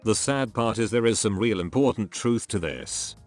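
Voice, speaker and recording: Low-voiced man reading posts aloud. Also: animated, normal speed, soft background music. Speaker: narrator reading online posts. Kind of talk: scripted narration.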